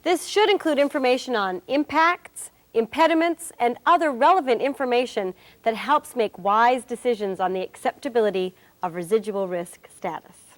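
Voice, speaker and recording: A woman's voice: a little high, lively intonation, normal speed, natural voice. A woman acting as a wry, cheerful presenter, giving scripted instruction in a corporate training film. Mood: instructive.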